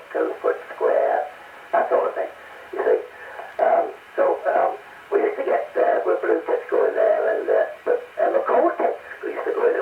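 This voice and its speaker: An older man with a North East English accent chatting in a halting, chuckling way over CB radio. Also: sits high, creaky, roomy sound. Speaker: amateur CB radio operator. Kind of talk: casual radio chat. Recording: noisy, narrow-band, distant CB radio transmission.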